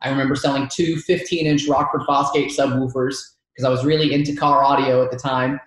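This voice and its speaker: A man's voice: medium pitch, little pitch movement, a little fast, fairly bright tone. A man in his thirties from Georgia, whose first language is Arabic, telling his story as a podcast guest with a chatty, laughing manner. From Georgia, United States. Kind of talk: casual storytelling interview. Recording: very echoey room.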